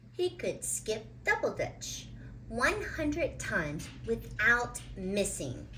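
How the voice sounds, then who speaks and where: A woman reading a children's picture book aloud with deliberate, dramatic phrasing. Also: on the low side, a bit bright, slight background noise, low-quality recording. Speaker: children's storybook reader.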